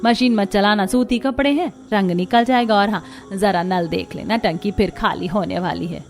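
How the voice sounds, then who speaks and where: A woman's voice: medium pitch, moderate pace, soft background music, lightly acted. A woman playing a nagging, thrifty middle-aged mother-in-law in a cartoon story, sweetly bossy as she gives instructions. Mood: instructing. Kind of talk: Hindi cartoon story dialogue.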